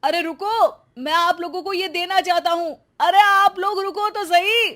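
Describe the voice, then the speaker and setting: A woman voicing a gullible, bossy village husband in a cartoon story, loud and commanding. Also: very high-pitched, steady tone, normal speed, pitched above the performer's own voice. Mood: urgent.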